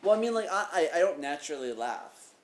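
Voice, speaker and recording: Deadpan young man, quick and dry. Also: fairly high pitch. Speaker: podcast co-host. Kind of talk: casual banter. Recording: echoey room with faint noise.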